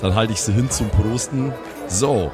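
Very deep-voiced man at play. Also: moderate pace, music in the background, a crowd in the background. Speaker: tabletop player. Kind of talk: casual improvised role-play.

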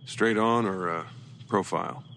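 A low-pitched man as a dutiful subordinate in a radio drama. He speaks in a clipped, wary, dry manner, with a faint hum behind him. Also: unhurried, fairly bright tone. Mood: guarded.

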